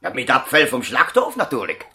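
A man's voice: mid-range voice, huge pitch swings, brisk, background noise. A man with a Swiss accent playing an affable, slightly bewildered dog breeder as a patient in a radio drama, hesitant and homely. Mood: puzzled, indignant. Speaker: actor. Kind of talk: naturalistic radio drama acting.

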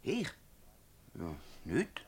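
A man acting a nervous young innkeeper's son in a Swiss German radio drama, giving halting, evasive answers. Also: darkish tone. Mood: flustered. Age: 20s.

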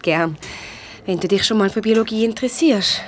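A woman's voice: mid-range voice, moderate pace, a little hiss or hum, close to an everyday voice. A woman with a Swiss German accent playing a dry, sardonic biology teacher in a radio play. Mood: teasing. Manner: teasing, dry.

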